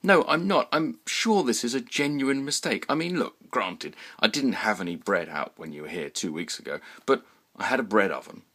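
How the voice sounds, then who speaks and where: A man's voice: fairly low pitch, lively intonation, normal speed, a bit bright, voice pushed up. A man voicing an aggrieved but polite baker in a story reading, reasonable and plaintive. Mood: pleading reason.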